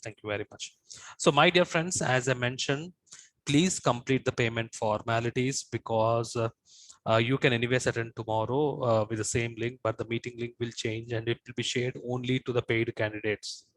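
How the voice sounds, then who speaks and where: A man's voice: medium pitch, steady tone, normal speed, a bit bright, no echo, low-quality recording. A man running a live online class, answering students' questions in a reassuring way and repeating short phrases. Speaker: online course trainer. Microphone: video call.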